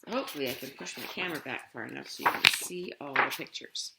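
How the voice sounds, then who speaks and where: A woman's voice: rumbling low, fast, creaky, very noisy. A woman reading a storybook aloud expressively, with chatty asides for young listeners. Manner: expressive, dramatic emphasis on story lines. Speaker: picture book reader.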